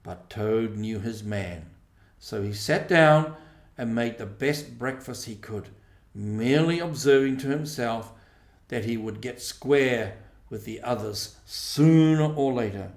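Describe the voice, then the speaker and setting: A man's voice: mid-range voice, expressive, slow pace, a little mellow, echoey room. A man reading a storybook aloud as a scripted narration. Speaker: audiobook narrator.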